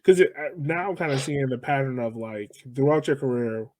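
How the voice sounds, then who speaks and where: A man's voice: medium pitch, fairly quick, a little mellow, dry recording. A man hosting a podcast in an informal, conversational way. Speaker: podcast host. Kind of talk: casual interview chat.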